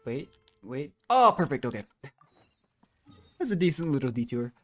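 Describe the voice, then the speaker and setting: Casual, musing game streamer over soft music. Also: medium pitch, big pitch swings, moderate pace. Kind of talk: casual live game commentary.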